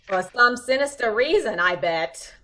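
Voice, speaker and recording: A woman performs a lovesick, hot-headed female crook from an old-time radio mystery. She talks slangy and melodramatic, drawing her words out at an unhurried pace. Her pitch leaps all over. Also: expressive, bright, forceful tone, a little hiss or hum. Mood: conspiratorial. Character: cute, tough, lovesick female would-be killer, excitable gangland moll.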